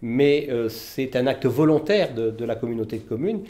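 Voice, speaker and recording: A man gives interview answers as a village mayor. He explains matters in a measured way, feeling for his words as he goes, with a mid-range voice. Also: mid-range voice, animated, dark tone. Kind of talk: interview answers on local politics.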